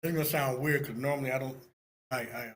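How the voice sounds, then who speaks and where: A man giving casual critique on an online call, halting as he thinks aloud. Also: medium pitch. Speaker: participant giving writing feedback.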